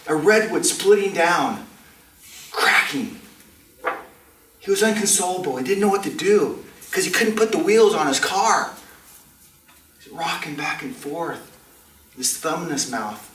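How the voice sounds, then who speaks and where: A man telling a tall tale on stage in a theatrical way, with dramatic, deliberate pauses. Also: on the high side, fairly bright tone. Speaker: contestant in a speech club storytelling contest. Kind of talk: theatrical tall-tale storytelling to a live audience. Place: indoor community meeting room. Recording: distant mic, roomy echo, faint noise.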